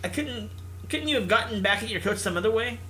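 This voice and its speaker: Man playing a high, exasperated team leader. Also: expressive, fast talker, sharp tone, rough recording. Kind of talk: visual novel character acting.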